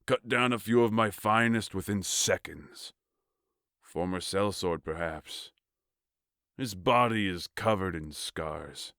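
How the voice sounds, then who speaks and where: A man playing a large, ruthless warrior king in a fantasy audio story, speaking in a measured, commanding, formal way. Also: deep voice, fairly bright tone, no echo, studio quality. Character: large, tall warlord king, cold, commanding, ruthless strategist. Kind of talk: audio fiction acting.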